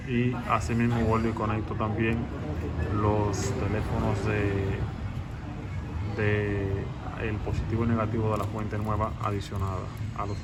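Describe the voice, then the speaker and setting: Low guy explaining intercom wiring on site, repeats himself, noisy background. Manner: explanatory, repeats words for emphasis. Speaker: security technician. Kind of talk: on-site installation tutorial. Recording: clear background noise.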